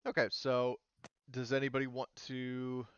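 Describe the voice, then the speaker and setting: Clear-voiced man running a tabletop game. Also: mid-range voice, monotone, unhurried, fairly bright tone, no room sound, dull audio. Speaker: game master. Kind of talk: casual tabletop game narration.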